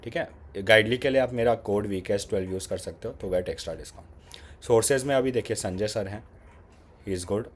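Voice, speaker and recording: A man's voice: lowish voice, moderate pace, slight background noise. A man with a sore throat and an Indian English accent, giving casual, conversational exam advice and switching between Hindi and English. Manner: conversational, mixes Hindi with English phrases, repeats "ठीक है". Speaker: exam prep YouTuber. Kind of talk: casual exam strategy talk.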